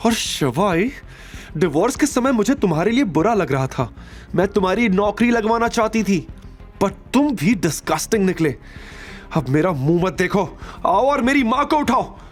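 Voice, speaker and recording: A man's voice: high voice, very animated, normal speed, soft background music, pitched above the performer's own voice. A man voicing a jealous, haughty young ex-wife in an audio drama, scornful, accusing and imperious.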